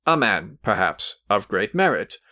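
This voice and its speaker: A man doing a low, grumbling annoyed gentleman. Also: big pitch swings, a little slow, heavily acted. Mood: measured. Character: weary, annoyed grown man, dry.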